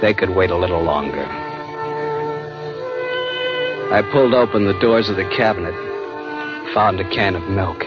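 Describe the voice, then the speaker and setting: A man's voice: sits low, fairly quick, lightly acted. An American actor playing a man who dramatically recounts a brush with death in 1940s radio drama style. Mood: awed, humbled. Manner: intense, dramatic delivery. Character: young male adventurer breathlessly recounting his narrow escape from death. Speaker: lead actor narrating. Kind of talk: 1940s radio drama acting, first-person narration. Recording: dull vintage radio recording, loud music.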